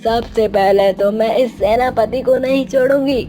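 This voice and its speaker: A woman plays a vengeful shadow spirit from a cartoon tale, a female phantom thirsting for blood. She draws out her threats very deliberately, full of menace, over faint background music. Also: fairly high pitch, dark tone, lightly acted. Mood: bitter, vengeful. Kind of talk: dramatic cartoon story acting.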